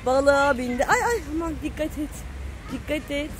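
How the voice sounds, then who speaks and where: A woman's voice: high voice, expressive, moderate pace, background noise. A woman chatting casually to the camera and calling out to children in a sing-song way, recorded on a handheld phone at an outdoor playground. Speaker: parent filming a family vlog.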